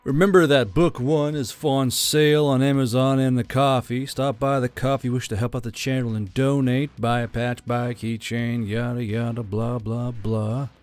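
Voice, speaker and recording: A man's voice: mid-range voice, steady tone, moderate pace, hardly any pauses, darkish tone, no echo, soft background music. A man hosting a channel with a casual, rambling, self-mocking intro in an offhand manner. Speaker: channel host.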